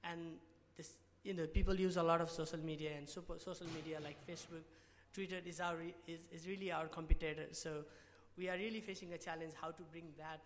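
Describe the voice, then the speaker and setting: A young man from Nepal with a Nepali accent, giving a hesitant pitch talk from a podium mic in a large conference hall, full of restarts and fillers. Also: level tone, fast, few pauses, a little hiss or hum. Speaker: award presenter. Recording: reverberant hall.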